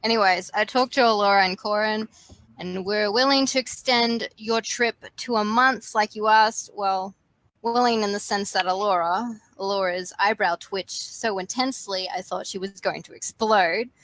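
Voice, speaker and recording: A woman playing a kind, earnest male team leader who speaks gently and sincerely in an amateur dramatic reading. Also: medium pitch, moderate pace, no room sound, lightly acted, low-quality recording. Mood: warm, earnest.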